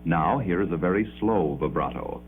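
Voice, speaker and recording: A man delivering formal, measured 1950s educational film narration with precise enunciation, on an old muffled soundtrack. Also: low voice, a little hiss or hum.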